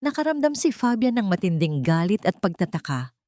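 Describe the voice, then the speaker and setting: A woman's voice: medium pitch, sing-song, a little fast, dark tone, no echo, clean studio sound. A woman reading a scripted romantic drama as a storyteller, in a dramatic narrating style.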